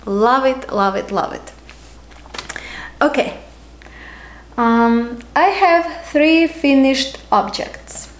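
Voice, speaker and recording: A woman with a Ukrainian accent talks at a very slow, easy pace, her fairly high voice broken by ums and pauses. She is in her thirties and hosts a casual podcast from home. The room around her is echoey. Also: expressive, a little hiss or hum. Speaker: podcast host. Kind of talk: casual knitting podcast chat.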